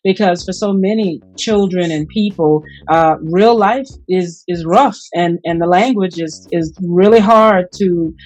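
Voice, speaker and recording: A woman's voice: fairly low pitch, measured pace, few pauses, darkish tone, no echo, poor audio. A woman hosting a conversational interview, talking in a hesitant, meandering way as she forms her thoughts. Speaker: show host, interviewer.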